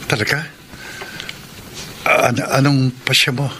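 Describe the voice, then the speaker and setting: A man with a Filipino accent playing a kind, sincere suitor in a radio drama, speaking deliberately. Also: mid-range voice, fairly even intonation, creaky, noisy background. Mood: earnest, tender. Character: kind, sincere older suitor confessing love to his housemaid.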